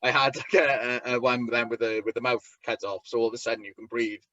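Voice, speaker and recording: A man's voice: mid-range voice, little pitch movement, a bit bright, dull audio. Man with a thick Welsh accent. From Wales. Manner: halting, frequent restarts and fillers. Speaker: wrestler and promoter guest. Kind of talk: casual interview chat.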